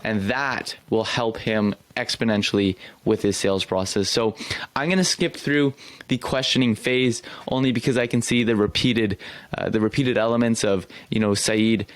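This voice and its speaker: A man's voice: fairly low pitch, normal speed, dry recording. A man commenting on a recorded sales call as a coach, critiquing bluntly and praising with enthusiasm. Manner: direct, critiquing, animated praise. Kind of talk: commentary over a video.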